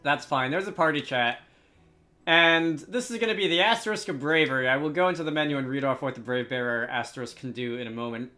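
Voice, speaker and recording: A man's voice: medium pitch, quick pace, sharp tone, soft background music. A man giving casual commentary on a game as a let's player who reads its dialogue aloud.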